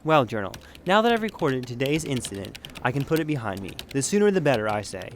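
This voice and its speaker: An actor playing a grumpy, easily exasperated workplace boss in a comedic audio drama, with a sarcastic edge. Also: male voice, mid-range voice, sing-song, fast, slight background noise. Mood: grumpy, resigned. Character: exasperated grumpy young man, workplace boss, prone to overreaction.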